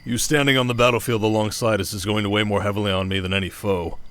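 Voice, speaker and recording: A man playing a steady, protective desert king in an ASMR audio drama, speaking in a measured, intimate way. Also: fairly low pitch, close to an everyday voice. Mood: concerned, tender.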